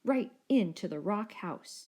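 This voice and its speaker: A woman storyteller narrating a children's story in an expressive, scripted style. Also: mid-range voice, slow.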